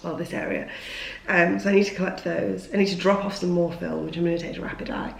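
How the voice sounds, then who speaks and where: Vlogger, woman, voice shot from a cold, low and rough, rambly and self-deprecating. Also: fairly even intonation, quick pace, roomy sound, poor audio. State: lost voice after a cold, hoarse. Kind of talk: casual chat to camera. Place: at home, indoors.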